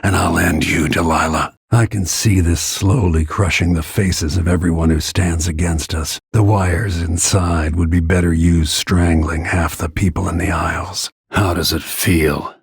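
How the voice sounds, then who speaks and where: An actor playing a grim, vengeful middle-aged assassin in a video game, brooding in a gravelly, husky voice with low-key menace. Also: male voice, steady tone, measured pace, hardly any pauses, no room sound, studio quality, exaggerated voice. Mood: brooding, resolute. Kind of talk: dramatic game voice lines, brooding inner monologue.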